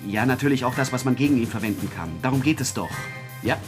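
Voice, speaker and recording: A man's voice: medium pitch, fast talker, close to an everyday voice. Radio drama journalist man, mid-range voice, scheming and insistent, short urgent phrases over background music. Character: pushy, scheming male newspaper reporter on the phone. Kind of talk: German radio drama acting.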